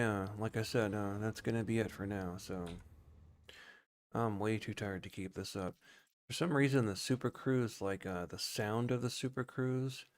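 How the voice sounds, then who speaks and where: A man streaming a game with casual, rambling, conversational commentary. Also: lowish voice.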